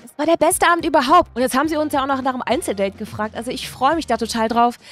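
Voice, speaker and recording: A woman's voice: high voice, rapid-fire, a bit bright. A woman voicing a sweet, giddy, lovestruck teenage schoolgirl who gushes in youth slang. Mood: gushing. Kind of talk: acted toy-figure story for children.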